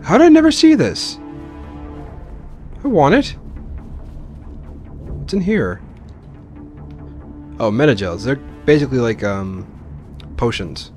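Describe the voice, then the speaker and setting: A man's voice: mid-range voice, sing-song, slow pace, long pauses, darkish tone. A man giving casual, rambling and exclamatory commentary as host of a video game let's play. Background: game music and sound.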